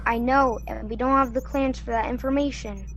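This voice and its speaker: A woman playing a cautious, deliberate young tech-minded colonist in a student sci-fi radio play. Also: a little high, steady tone, highs cut off, natural voice. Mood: determined.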